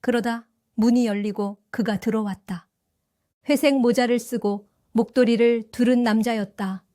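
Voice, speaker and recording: An older woman narrating her own story in an audio drama, calm and reflective. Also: on the high side, unhurried, dry recording, clean studio sound. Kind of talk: scripted first-person audio drama narration.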